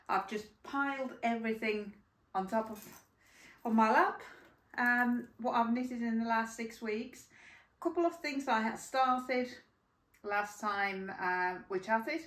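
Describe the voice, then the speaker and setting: Slow, rambling woman in echoey room. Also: medium pitch, fairly bright tone, recorded from a distance. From Finland. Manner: chatty, rambling with many fillers. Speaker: podcast host. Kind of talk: casual chat. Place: home room by a yarn cupboard. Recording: echoey room, voice set back from mic.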